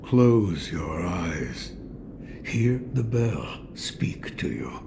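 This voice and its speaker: An actor playing a gruff, calm old man in a video game, speaking solemnly in a gravelly voice. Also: low voice, noisy background, heavily acted. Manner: slow, solemn, measured. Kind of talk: cinematic video game voice acting.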